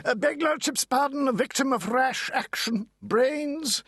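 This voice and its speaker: A man voicing a nervous, deferential barrister in an audiobook, halting, clipped and apologetic. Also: very high voice, fairly bright tone, husky, no echo, clean studio sound, pitched above the performer's own voice, exaggerated voice. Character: nervous, deferential, stammering barrister, Mister Tangle.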